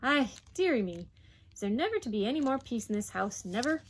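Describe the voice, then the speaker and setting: A woman's voice: medium pitch, lively intonation, moderate pace, slight background noise, close to an everyday voice. A woman voicing a weary, exasperated working-class mother in a story, firm but patient, using old dialect words. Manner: plain dialect speech with thee and thy.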